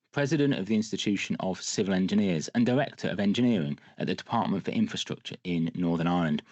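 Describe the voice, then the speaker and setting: Low-voiced podcast host, muffled dry sound. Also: male voice, darkish tone, no room sound. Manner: enthusiastic, encouraging interviewer. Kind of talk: podcast interview.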